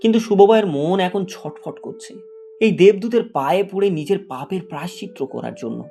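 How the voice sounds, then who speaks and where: A man with a slightly high voice narrates a dramatic moral tale from a script. Soft music plays underneath his storytelling. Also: normal speed, gravelly.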